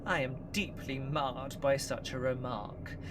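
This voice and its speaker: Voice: medium pitch, measured pace, noisy background, close to an everyday voice. An actor playing a menacing zealot villain in an audio drama, speaking in a flowery, formal, condescending way. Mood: mock-courteous.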